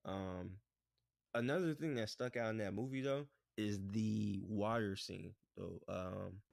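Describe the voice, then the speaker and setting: Low gravelly-voiced man chatting casually. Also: a little slow, no echo, studio quality. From United States. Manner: relaxed, rambling, says "bruh" and "like" often. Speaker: podcast co-host. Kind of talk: casual chat.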